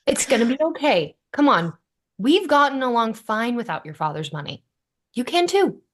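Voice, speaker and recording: A woman's voice: a little high, very animated, moderate pace, a bit bright. A woman acting a bossy, caring, wry young woman in a sitcom table read. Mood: reassuring, friendly.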